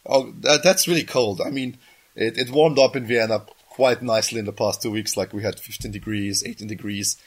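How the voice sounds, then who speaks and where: A man's voice: on the low side, brisk, slightly rough. Coughing man hosting a podcast. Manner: rambling, often restarts and corrects himself. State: sick, coughing, voice just back. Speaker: podcast host. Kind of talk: casual podcast chat.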